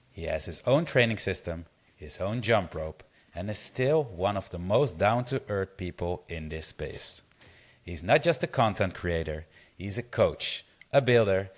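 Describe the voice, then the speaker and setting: Deep-voiced upbeat podcast host man. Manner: upbeat, friendly, scripted-sounding intro. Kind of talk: podcast interview hosting.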